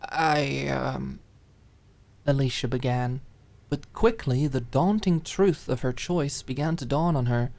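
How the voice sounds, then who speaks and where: A woman reading dramatic scripted fiction as an audiobook narrator, with expressive, emotive storytelling. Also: very deep voice, normal speed.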